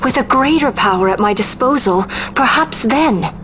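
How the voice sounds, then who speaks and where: An actress playing a proud, determined young princess in a fantasy game, speaking formally and earnestly. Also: female voice, a little high, expressive, moderate pace, fairly bright tone, a little hiss or hum. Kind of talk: dramatic video game voice acting.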